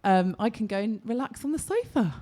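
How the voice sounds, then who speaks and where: Female radio host and DJ, soft-edged mellow tone, informal studio chat. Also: mid-range voice, sing-song, a little fast.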